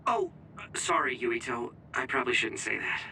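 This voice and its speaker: An actor playing a frank, friendly young comrade in a video game, casual and plain-spoken. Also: male voice, mid-range voice, very animated, moderate pace, vocal fry, pushed and bright, slight background noise, exaggerated voice. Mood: concerned. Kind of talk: video game cutscene voice acting.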